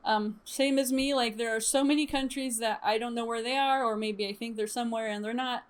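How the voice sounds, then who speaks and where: Bright high-voiced young woman chatting. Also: a little fast, smooth. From Chile. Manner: chatty, lively, with frequent laughs and fillers. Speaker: streamer. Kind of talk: casual storytime chat.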